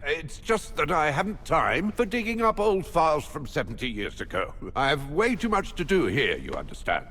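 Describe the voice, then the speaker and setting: An actor playing a fussy, pompous, overworked academy rector in a video game, with hesitant, formal phrasing. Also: male voice, a little high, very animated, moderate pace, fairly bright tone, big-room sound, a little hiss or hum. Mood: flustered, self-important. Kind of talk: video game dialogue acting.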